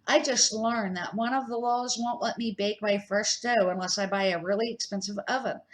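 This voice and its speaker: A woman voicing a friendly woman who owns a food truck, in a raised, bright reading voice. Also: medium pitch, steady tone, normal speed, close to an everyday voice.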